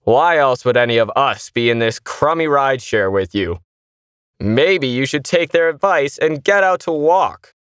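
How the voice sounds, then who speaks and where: A man's voice: mid-range voice, lively intonation, a little slow, fairly bright tone, smooth, no echo, clean studio sound, pitched above the performer's own voice. A man voicing an annoyed male rideshare passenger, sarcastic and complaining, in an audio story.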